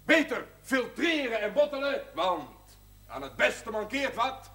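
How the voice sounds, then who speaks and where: Proud jovial brewery man making a speech, bright forceful voice, slow and deliberate. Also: very high voice, big pitch swings, heavily acted. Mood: proud, rousing. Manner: declamatory, rhetorical, folksy. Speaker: actor in a Dutch television series. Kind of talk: celebratory speech to assembled brewery staff.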